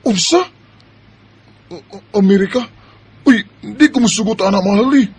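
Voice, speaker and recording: A man's voice: high-pitched, normal speed. A man acting an earnest, possessive young boyfriend in a Filipino radio drama. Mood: alarmed, firm.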